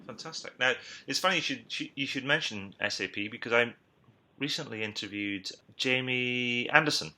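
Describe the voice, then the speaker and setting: A man's voice: mid-range voice, normal speed, bright, forceful tone. A man hosting a business podcast, asking long, conversational interview questions. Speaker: podcast host.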